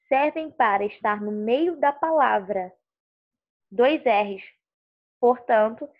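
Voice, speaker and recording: Slow-spoken teacher woman, tinny sound. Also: on the high side. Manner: slow, explanatory, checks understanding with "ok?". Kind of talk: recorded classroom lesson.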